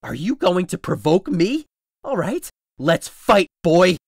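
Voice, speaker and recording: A man playing a desperate, grief-stricken young husband in a dramatic audio drama, intense and swinging between hushed menace and anguish. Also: sits high, very animated, slow pace, gravelly, a little hiss or hum, exaggerated voice. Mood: desperate, fierce. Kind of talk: dramatic audio drama acting, modernized Shakespeare.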